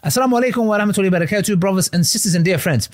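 A man's voice: high voice, very fast. A man giving earnest commentary for a reaction video, sprinkling in Arabic religious phrases. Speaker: online commentator.

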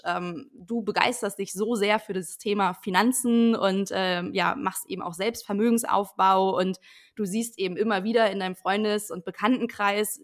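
A woman in her late twenties, a guest on a podcast, explaining her business in a chatty way with frequent fillers. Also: medium pitch. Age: 20s. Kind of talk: casual interview chat.

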